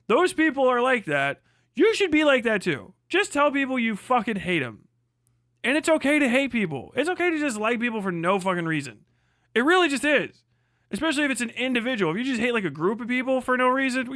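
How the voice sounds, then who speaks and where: A man's voice: extremely high pitch, very animated, a little fast, fairly bright tone, dry recording, studio quality. A man from the United States giving a casual, profane opinion rant as a livestream host, blunt, irreverent and emphatic.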